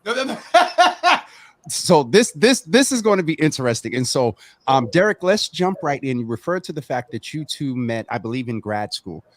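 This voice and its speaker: A man's voice: fairly high pitch, very animated, normal speed, no room sound. A man hosting an interview in a playful, teasing way. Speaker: host.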